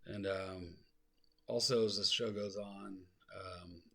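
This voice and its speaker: A man from South Lake Tahoe talks through his life story with a deep, gravelly voice. His tone is husky, and he wanders into digressions and fillers. It is a slow, soothing delivery meant to help people fall asleep. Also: fairly even intonation. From South Lake Tahoe, California. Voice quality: soft, low voice that he calls soothing. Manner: rambling, self-deprecating, full of fillers and digressions. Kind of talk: rambling casual storytelling, aiming to soothe.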